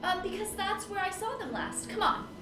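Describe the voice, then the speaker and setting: Woman playing a high-voiced, flighty, vain film starlet. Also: animated, normal speed, sharp tone, slight background noise, heavily acted. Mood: pleading, flighty. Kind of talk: comic noir audio drama acting. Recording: roomy echo, music underneath.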